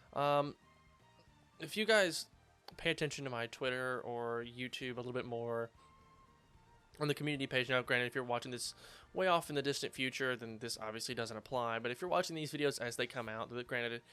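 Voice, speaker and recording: A man casually commentates his game playthrough in a loose, rambling way, full of fillers and quick asides. His voice is slightly hoarse but still smooth and clear, sitting in an ordinary mid range. Faint game music plays underneath. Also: medium pitch, fairly bright tone. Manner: rambling, filler-heavy, upbeat. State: slightly hoarse after surgery. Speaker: let's play host. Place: home gaming setup, recording standing up.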